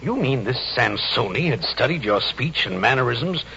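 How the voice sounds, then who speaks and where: An actor playing an astonished, attentive attorney listening to a strange tale in an old-time radio drama. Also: male voice, mid-range voice, expressive, moderate pace, vocal fry. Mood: astonished, uneasy. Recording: muffled, noisy old radio recording.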